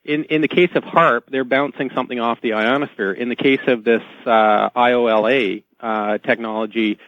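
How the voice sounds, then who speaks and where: On a dull, dry radio line, a man of medium pitch explains technical matters as an interview guest. He is matter-of-fact and somewhat halting in his delivery. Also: fairly even intonation, no room sound.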